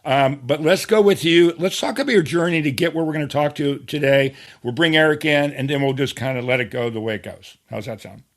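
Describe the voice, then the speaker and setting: A man's voice: a little high, fairly quick, hardly any pauses. Casual, chatty male podcast host. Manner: informal, chatty. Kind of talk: casual conversational interviewing.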